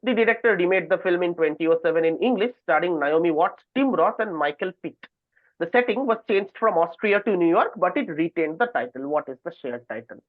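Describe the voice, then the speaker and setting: A man with a noticeably high-pitched voice hosts a quiz over a narrow, phone-like call. The sound is dry, without room echo. He reads questions at length and moderates with informal asides. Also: animated, fairly quick. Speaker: quiz host.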